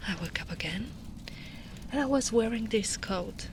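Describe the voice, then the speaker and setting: A woman voicing a gentle, protective big female ogre in a tender, soft-spoken ASMR roleplay, often slipping into whispers. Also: a little high, expressive, moderate pace, slight background noise, natural voice. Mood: somber.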